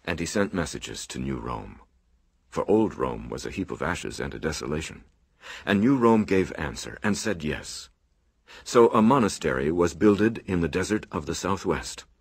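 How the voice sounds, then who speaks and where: A man's voice: rumbling low, lively intonation, normal speed, vocal fry, husky, no echo, clean studio sound, heavily acted. A man playing a solemn monk who recites his order's sacred history in archaic, oratorical radio drama style. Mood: solemn, reverent.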